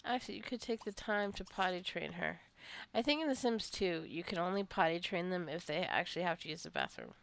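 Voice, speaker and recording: A woman giving casual, rambling gameplay commentary as a let's-play host, musing aloud to the game's characters in a creaky voice. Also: lowish voice, sing-song, a little fast, few pauses, a bit bright.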